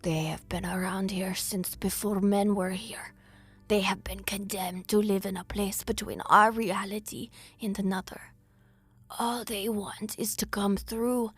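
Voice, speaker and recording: A woman voicing an eerie old woman who guards cursed portals, speaking in a slow, hushed, raspy whisper. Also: medium pitch.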